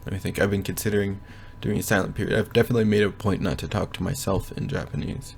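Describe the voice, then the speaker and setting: A young man giving a casual, rambling progress update from his bedroom, often pausing to think aloud. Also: low voice, steady tone, vocal fry, a little hiss or hum. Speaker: language learner vlogger. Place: bedroom at home.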